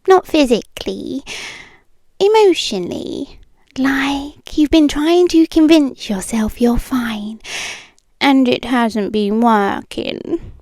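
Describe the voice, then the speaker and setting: A British woman playing a possessive, eerily calm yandere ex-girlfriend in an intimate, partly whispered ASMR roleplay, cooing slowly and condescendingly with soft menace. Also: high voice, dry recording, heavily acted. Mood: pitying, possessive. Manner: slow, deliberate, softly menacing, condescending. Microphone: close microphone, dry.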